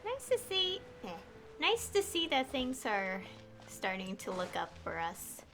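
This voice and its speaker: A woman's voice: sits high, sing-song, unhurried, fairly bright tone. A woman giving casual live gameplay commentary as a streamer, hesitant and thinking aloud, often trailing off. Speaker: game streamer.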